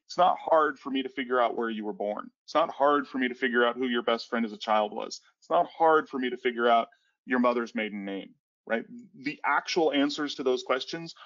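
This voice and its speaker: A man in his thirties presenting a cybersecurity webinar in a casual, rambling way, often restarting his sentences. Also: medium pitch. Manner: rambling with frequent restarts and fillers. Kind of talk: casual conversational webinar talk. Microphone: headset on a video call. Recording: dull lo-fi webinar audio.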